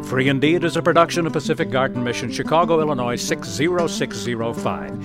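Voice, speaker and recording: A man's voice: medium pitch, fast talker. A man announcing a radio program in a scripted read. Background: plucked guitar music.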